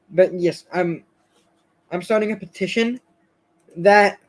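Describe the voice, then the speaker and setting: A man hosting a casual live stream, chatting with viewers in a rambling, hesitant way full of fillers and restarts, his voice going a bit hoarse. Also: sits high, slow pace, low-quality recording. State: slightly hoarse, losing his voice.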